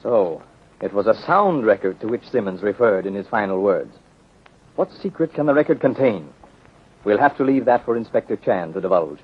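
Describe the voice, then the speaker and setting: A man's voice: on the low side, expressive, normal speed, slightly rough. A man announcing a 1930s radio serial in scripted narration, formal and dramatic. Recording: muffled, very noisy 1930s radio recording.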